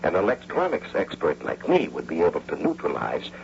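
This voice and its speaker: Insistent scheming husband, hugely animated, emphatic, persuasive, radio drama, noisy old broadcast. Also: male voice, deep voice, a little fast, vocal fry, highs cut off, heavily acted. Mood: pressing, persuasive. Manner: emphatic, insistent, repeats himself. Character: scheming, bitter, persuasive husband and electrical engineer turned would-be robber. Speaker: actor narrating and playing the lead. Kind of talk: 1980 radio drama acting.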